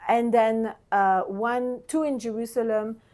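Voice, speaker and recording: A woman's voice: a little high, steady tone, a little slow, darkish tone. A woman who is a hospital guest relations director, explaining how the hospital works, with some restarts. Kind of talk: interview explanation.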